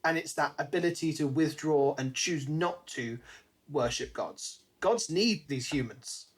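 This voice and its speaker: A man with a somewhat high voice talks as a podcast co-host in a casual book discussion. He retells things in an animated way, slipping into little mimicked asides as he explains. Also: measured pace.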